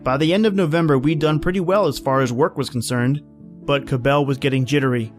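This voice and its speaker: Male actor as calm, hardy northern surveyor, radio drama narrator, medium pitch, understated delivery, faint music underneath. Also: brisk, natural voice. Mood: reflective, grave. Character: Jack, calm hardy surveyor narrating his Arctic winter.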